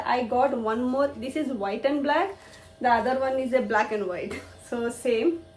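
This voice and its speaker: Young woman, husky and a bit sick, fairly high voice, echoey room. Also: a little mellow. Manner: chatty, repetitive, showing items to camera. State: feverish, coughing and clearing throat. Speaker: vlogger. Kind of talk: casual shopping haul vlog. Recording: echoey room, faint noise.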